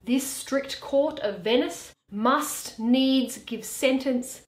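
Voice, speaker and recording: A woman in her thirties playing an earnest young male lawyer, deliberate and persuasive, in a Shakespeare reading. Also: high voice, slight background noise. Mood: pleading, grave. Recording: echoey bare room.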